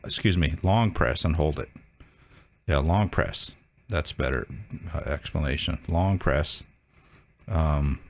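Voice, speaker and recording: A man hosting a casual hands-on tutorial, talking informally and haltingly as he thinks aloud. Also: low-pitched. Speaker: video host demonstrating a radio.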